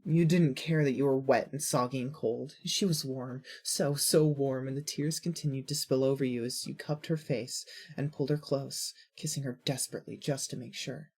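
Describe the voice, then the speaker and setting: Woman, low husky voice, scripted story narration, audio fiction narrator. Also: steady tone, moderate pace, few pauses, darkish tone.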